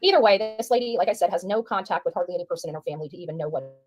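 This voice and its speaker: A woman's voice: on the low side, very fast, a little mellow, highs cut off. A woman giving informal livestream commentary, emphatic and repeating phrases for stress.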